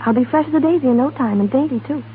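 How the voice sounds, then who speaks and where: A woman's voice: high-pitched, rapid-fire, lightly acted. An actress playing a tired but cheerful young salesgirl in a 1930s radio advertisement. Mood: bright, eager. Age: young adult. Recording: narrow, noisy 1930s radio broadcast.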